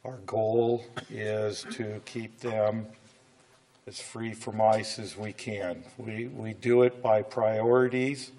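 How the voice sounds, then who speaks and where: A middle-aged American man speaks slowly and haltingly at a podium, as a city official addressing a council. His voice is on the low side with little melody, and a cold makes it come and go. He keeps his presentation informal and plain-spoken. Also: darkish tone. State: voice coming and going from a cold. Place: indoor council chamber, standing at a podium.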